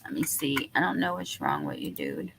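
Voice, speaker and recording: A woman's voice: a bass voice, wildly swooping pitch, normal speed, vocal fry. A woman chatting casually on a live stream. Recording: faint ambient noise.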